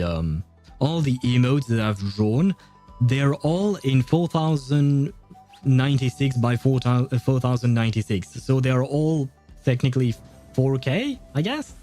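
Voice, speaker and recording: A man's voice: medium pitch, warm tone. A man livestreaming a game, chatting casually with viewers in a rambling, hesitant way. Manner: rambling, hesitant, chuckles often. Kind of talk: casual chat with viewers while gaming. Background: faint game music.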